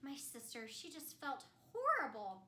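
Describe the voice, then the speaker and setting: A woman's voice: high voice, wildly swooping pitch, a little slow, background noise. A woman telling a children's story in the first person as an ice queen, theatrical and speaking simply and directly to children. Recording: roomy echo.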